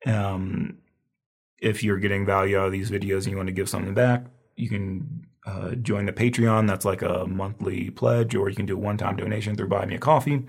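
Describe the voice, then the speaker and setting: A man's voice: lowish voice, fairly even intonation, fairly quick, husky. A man with a scratchy voice that is giving out, teaching a review lesson in a loose, rambling way with lots of fillers. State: losing his voice, scratchy. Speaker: herbal medicine instructor. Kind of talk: casual lecture review.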